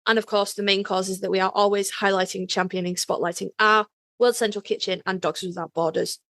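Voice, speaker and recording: A woman's voice: mid-range voice, flat delivery, fairly quick, fairly bright tone, dry recording, clean studio sound. A woman co-hosting a podcast in a casual, effusive and emphatic way. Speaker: podcast co-host. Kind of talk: casual chat and podcast sign-off.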